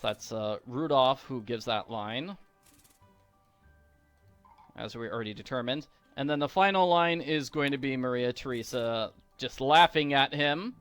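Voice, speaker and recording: A man's voice: medium pitch, a little slow, a bit bright, clean tone, no echo. A man giving casual, playful walkthrough commentary on a video game, explaining each step as host. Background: music.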